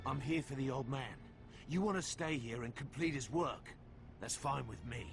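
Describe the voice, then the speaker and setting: Actor as a gravelly, sardonic British soldier. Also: male voice, mid-range voice, moderate pace, lightly acted. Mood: dry, blunt. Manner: blunt, sardonic. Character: gruff, sarcastic male soldier hero. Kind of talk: video game cutscene acting. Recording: game audio with music behind.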